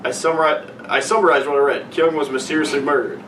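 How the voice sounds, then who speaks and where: A man's voice: a little high, fairly even intonation, quick pace, reverberant. A man co-hosting a podcast in a blunt, exasperated, sweary and casual way, critiquing as he talks. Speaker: podcast co-host. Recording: noisy, distant room mic.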